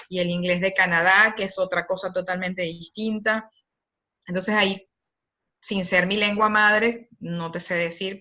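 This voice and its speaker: A woman's voice: on the low side, sharp tone. A woman with a Venezuelan accent, a copywriter talking as an interview guest in a thoughtful, rambling way with many fillers and restarts. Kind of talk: conversational interview.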